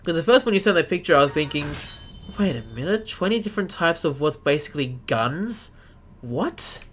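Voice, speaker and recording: An Australian man hosting a live stream, chatting casually with viewers and often pausing to search for the right word. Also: a little high, lively intonation, a bit bright, slight background noise. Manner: chatty, searches for words, self-deprecating.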